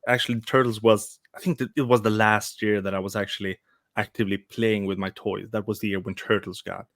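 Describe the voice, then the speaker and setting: Man, 40s, low voice, dry close sound, halting casual chat. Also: steady tone, fairly bright tone, dry recording. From Sweden. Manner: hesitant, many restarts. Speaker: guest, toy customizer. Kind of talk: casual live-stream chat.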